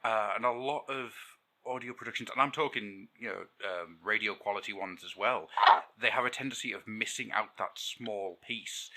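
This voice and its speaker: A podcast host with a low voice and a very bright, forceful edge talks excitedly in a casual chat. He rambles through his points, stopping and restarting with fillers along the way. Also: normal speed, slight background noise, poor audio.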